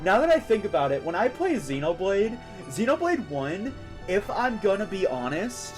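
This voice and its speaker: A man with a somewhat high voice streams a video game and talks casually over clearly audible music. He rambles through his opinions in an informal, conversational way. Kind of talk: casual live-stream commentary while playing a game.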